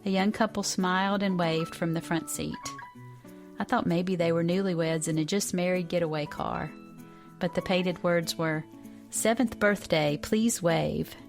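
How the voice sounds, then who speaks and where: A woman of about fifty from Western North Carolina reading a personal essay in a measured, reflective way. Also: fairly low pitch, steady tone, gravelly, faint music behind. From Western North Carolina, USA. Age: around 50.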